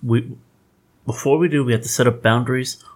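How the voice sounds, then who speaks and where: A man's voice: medium pitch, fairly even intonation, brisk. A man joking around in a casual podcast chat.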